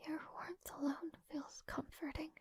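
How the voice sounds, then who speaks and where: Woman doing a frail gentle princess for fantasy audio rp, high breathy whispery voice, super slow n formal, close dry sound. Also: steady tone, no room sound. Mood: weak, grateful, tender. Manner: slow, hesitant, softly formal. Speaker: voice actor in audio roleplay. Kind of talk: intimate romantic fantasy audio roleplay.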